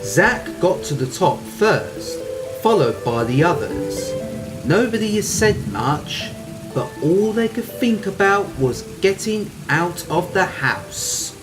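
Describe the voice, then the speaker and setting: A narrator tells a spooky radio play story at a slow pace, with a lot of movement in the pitch. Music plays behind the voice. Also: big pitch swings, poor audio.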